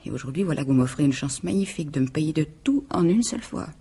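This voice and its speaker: A woman's voice: low-pitched, animated, fast, dark tone, husky, exaggerated voice. An actress playing a bitter, jealous woman bent on revenge, theatrical and sardonic, in 1950s French radio drama style. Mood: seething, warning. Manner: theatrical, sardonic, menacing monologue. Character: bitter, jealous, vengeful scorned woman turned cold killer.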